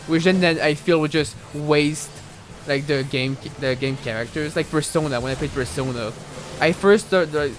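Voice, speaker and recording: A man's voice: fairly high pitch, moderate pace, slight background noise. A young man with a French accent, a native French speaker, chatting and rambling casually while streaming a game, with many fillers and restarts. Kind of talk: casual live gaming commentary.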